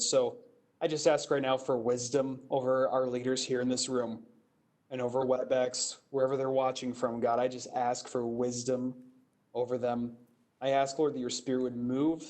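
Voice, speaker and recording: A pastor, a man, leading a spoken invocation at a council meeting, earnest and steady with some fillers. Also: mid-range voice. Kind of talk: spoken prayer.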